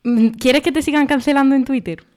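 A woman's voice: high-pitched, steady tone, fairly quick, darkish tone. A woman co-hosting a casual podcast, laughing often and steering the talk. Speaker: podcast co-host. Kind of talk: casual banter.

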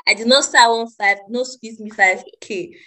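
A woman's voice: fairly high pitch, normal speed, sharp tone. Playful Nigerian woman in her twenties. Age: 20s. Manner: playful, joking, laughs often. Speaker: podcast co-host. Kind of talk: casual chat.

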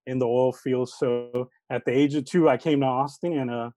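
Teacher guest, man in his thirties, Spanish-speaking upbringing, mid-pitched mellow voice, earnest and reflective, dry close recording. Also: fairly even intonation, moderate pace, dry recording. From Texas, United States. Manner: earnest and reflective, many fillers like "uh" and "you know". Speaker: elementary school teacher, interview guest. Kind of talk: conversational interview answers.

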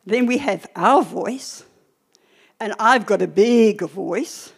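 An older woman preacher teaching a church sermon in a deliberate, emphatic way, with short pauses and repeated phrases. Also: medium pitch, vocal fry, breathy edge.

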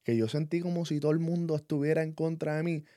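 A man's voice: a little high, little pitch movement, fast talker, warm tone, no echo. Earnest Puerto Rican man, dry sound. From Puerto Rico. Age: 30s. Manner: earnest, reflective, leans on "este" fillers. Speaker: podcast co-host. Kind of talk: casual personal chat.

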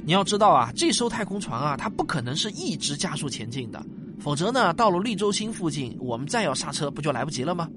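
A man playing a patient, affectionate father who explains science didactically, stretching his phrase endings, in a sci-fi audio drama. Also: fairly high pitch. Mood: animated explaining. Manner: didactic, drawn-out sentence particles, animated. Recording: music bed behind voice.

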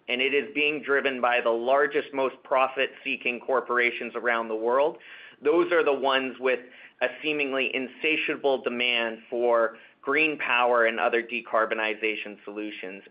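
A man talks in the measured, confident way of a senior executive on an investor call. He restarts phrases and repeats small words as he answers unscripted. The sound is somewhat dull and lo-fi, as from a conference line. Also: mid-range voice. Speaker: company executive answering analyst questions. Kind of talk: corporate earnings call Q&A, unscripted. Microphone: conference call webcast line. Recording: dull, band-limited conference call audio.

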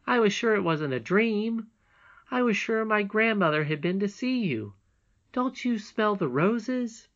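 A woman's voice: mid-range voice, big pitch swings, unhurried, muffled recording, voice pushed up. A woman voicing a sweet, trusting young princess in a storybook, earnest, emphatic and childlike. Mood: tender, excited. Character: sweet, trusting young princess, little girl, eager and polite.

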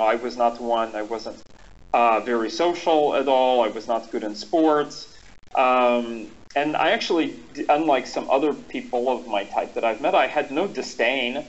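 A man's voice: mid-range voice, normal speed. A man speaking as a guest expert in a thoughtful conversation, reflective and full of ums and restarts. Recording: dull lo-fi audio.

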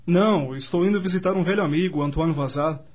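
An actor playing an earnest, courteous young gentleman student in love, speaking formally in a radio drama. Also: on the high side, fast talker, lightly acted. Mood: wistful, friendly. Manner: formal, polite.

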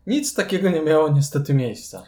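A man's voice: on the high side, animated, brisk, dark tone. A man in a casual conversational discussion as a podcast co-host.

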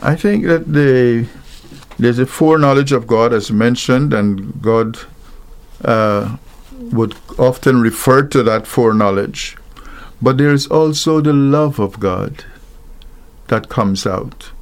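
A middle-aged man from Guyana, an interview guest who talks through Bible passages in a halting way, searching for his words. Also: medium pitch. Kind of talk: slow reflective Bible discussion.

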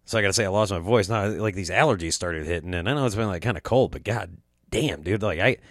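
A young man talks through a loose, profanity-laced story on his podcast, his throat scratchy from allergies. His voice sits fairly low. The room is dry, with no echo. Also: fast talker, a bit bright. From Quad Cities area, US Midwest. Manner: profane, rambling, laid-back storytelling. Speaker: podcast host. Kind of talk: casual storytelling monologue.

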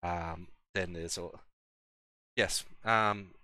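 A man's voice: sits low, steady tone, very slow, pushed and bright, dry recording, studio quality. A man hosting a casual podcast, laid-back and often repeating words as he starts his sentences. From Australia. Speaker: podcast host.

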